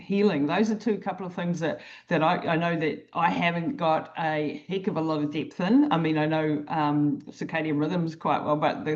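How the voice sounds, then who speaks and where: A woman hosting a podcast, welcoming her guest in an enthusiastic, easygoing way. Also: lowish voice. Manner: enthusiastic, warm welcomes, easy chat. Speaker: podcast host. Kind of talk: casual interview chat. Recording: dull lo-fi sound.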